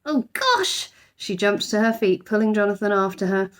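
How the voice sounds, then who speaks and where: A woman's voice: mid-range voice, flat delivery, a little fast. A woman narrating a children's storybook expressively, like a teacher reading aloud.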